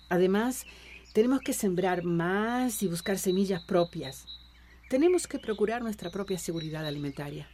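An actress playing an agreeable, practical village woman in radio drama dialogue, calm and supportive. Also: lowish voice, slight background noise, lightly acted. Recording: outdoor birds and crickets behind.

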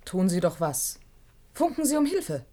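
An actress playing a curious, outspoken woman adventurer in a German science fiction radio drama, with a direct manner and slightly husky voice. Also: lowish voice, sing-song, measured pace, dark tone, no echo. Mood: challenging, indignant. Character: curious, outspoken female adventurer with biology training.

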